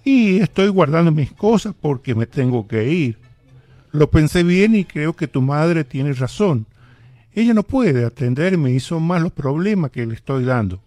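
A man with an Argentine accent playing a gentle, resigned old grandfather in a radio drama, speaking in a weary, patient way. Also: fairly high pitch, expressive, measured pace, no echo, faint music behind. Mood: resigned, tender. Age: older.

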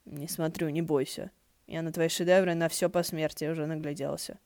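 Woman as a sardonic, grumbling male ghost, low-pitched. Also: fairly even intonation, moderate pace, darkish tone. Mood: snide. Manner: snide, muttering.

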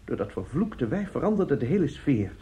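An actor in a radio drama playing a middle-aged, self-justifying seducer and family friend, speaking haltingly and emphatically. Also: male voice, mid-range voice, sing-song, dark tone, close to an everyday voice. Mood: uneasy confession. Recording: dull, muffled radio play recording.

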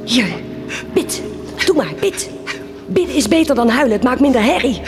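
A woman's voice: high voice, animated, normal speed. An actress in a Dutch radio drama playing a stern, defiant young woman who tends the sick, speaking bluntly and firmly. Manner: blunt, firm. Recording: music behind the voice.

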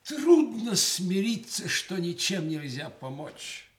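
A man's voice: high-pitched, very animated, takes it slowly, noisy background, exaggerated voice. An actor playing a loyal old war comrade and party man in a Soviet radio drama, speaking with restrained, sober steadiness. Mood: weary sympathy.

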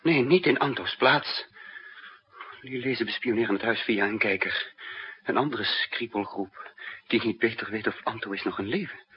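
An actor playing a troubled spy living under a false identity in a 1960s radio drama, brooding and hesitant, with dramatic pauses. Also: male voice, on the low side, slightly rough. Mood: worried, urgent. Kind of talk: 1960s radio drama acting and first-person narration. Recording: muffled, dull 1960s radio recording.

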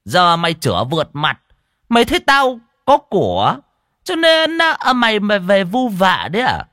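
Shrill, furious rich landlord. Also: male voice, wildly swooping pitch, moderate pace, bright, forceful tone, no echo, clean studio sound, exaggerated voice. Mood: furious, scornful. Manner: sputtering, vulgar, berating. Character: callous rich male village landlord, scornful and abusive.

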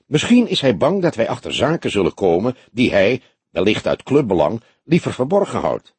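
A man's voice: mid-range voice, huge pitch swings, normal speed, breathy edge, no echo, heavily acted. A man voicing a young male detective sidekick, restless and questioning, in an audiobook. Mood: eager, pondering.

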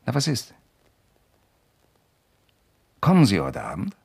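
An actor playing a persistent, slyly courteous investigator in a German radio crime drama, questioning insistently and deliberately. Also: male voice, fairly low pitch, wildly swooping pitch, creaky, darkish tone, breathy edge, heavily acted. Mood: mysterious, coaxing. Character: persistent amateur investigator, male, probing with sly courtesy.

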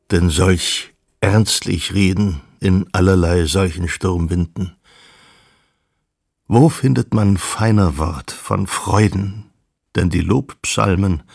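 Radio drama: grave, contemplative reciter of psalms, deep and gravelly, slow, solemn delivery. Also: male voice, dry recording, clean studio sound, exaggerated voice. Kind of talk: literary radio play recitation.

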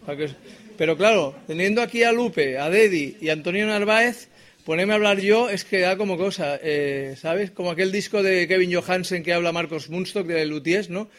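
A man's voice: high-pitched, fairly quick, fairly bright tone. A male singer-songwriter giving informal stage banter, projecting his voice to the back of the room. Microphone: speaking unamplified, mic only for radio pickup.

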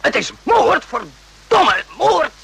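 An actor playing an agitated, outraged soldier in an emphatic radio drama style, repeating himself and swearing. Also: male voice, very high voice, sing-song, slow, gravelly, fairly bright tone, exaggerated voice. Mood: outraged, pressing. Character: agitated, morally outraged soldier in the Vietnam War.

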